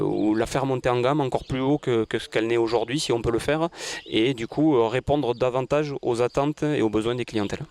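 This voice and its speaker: A man's voice: mid-range voice, darkish tone. Man explaining, mid-range voice. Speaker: tourist office director. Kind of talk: spoken interview answers.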